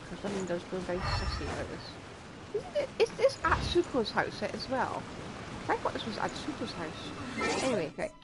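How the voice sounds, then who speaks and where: A woman's voice: medium pitch, huge pitch swings, moderate pace, very noisy. A woman streaming a game, giving casual commentary with whimsical, playful asides.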